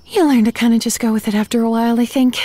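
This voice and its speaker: A woman's voice: a little high, fairly even intonation, racing, mellow, dry recording, natural voice. A woman playing a wry, upbeat young female zombie who chats self-deprecatingly to the listener in a comedy audio drama. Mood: wry, confiding. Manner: conversational, self-deprecating, sardonic asides. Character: chatty, wry, upbeat young female zombie narrating her undead life.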